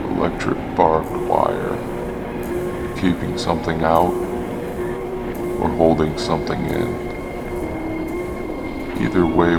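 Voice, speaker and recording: A man as a very deep, haunted, cynical drifter. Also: exaggerated voice. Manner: deadpan, cynical, in short clipped fragments. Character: haunted, bitter male drifter living in abandoned subway tunnels. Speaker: audiobook narrator in character. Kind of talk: brooding first-person scripted narration.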